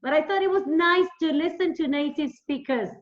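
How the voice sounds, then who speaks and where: A woman's voice: high-pitched, normal speed. A woman hosting an informal interview, speaking hesitantly in simple phrases and repeating herself. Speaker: interviewer, English teacher. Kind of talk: informal interview over video call. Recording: dull, echoey call audio.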